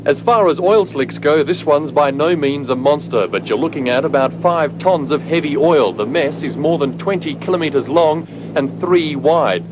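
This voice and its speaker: A man reports a news story in a plain, conversational tone. The recording is muffled old broadcast tape, with a clear hiss running beneath him. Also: a little high, lively intonation, normal speed. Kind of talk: scripted news report voice-over.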